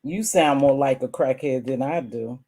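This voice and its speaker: Hoarse, sassy woman ranting to viewers. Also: moderate pace, noisy background. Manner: scolding, sassy, swings between loud outbursts and low mutters. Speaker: online streamer. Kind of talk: heated casual rant to viewers.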